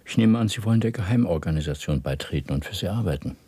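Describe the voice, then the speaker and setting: Radio drama: a suave senior party man, lowish voice, very animated melody, formal and probing. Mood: guarded, probing. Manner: measured, polite, formal, deliberate. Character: suave, powerful senior party official, male, probing and secretive. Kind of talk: German radio drama acting.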